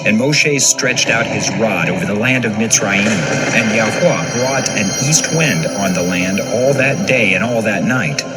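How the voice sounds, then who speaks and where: A man with a lowish voice narrates scripture for an audio dramatization. Music plays clearly behind his scripted reading. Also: a little slow, hardly any pauses, low-quality recording.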